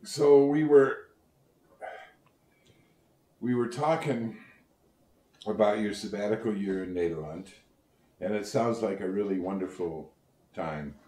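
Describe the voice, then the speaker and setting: A man's voice: on the low side, slow, long pauses, warm tone, roomy sound. An older man conducting an oral history interview, speaking reflectively, seated in a living room. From Netherlands and United States.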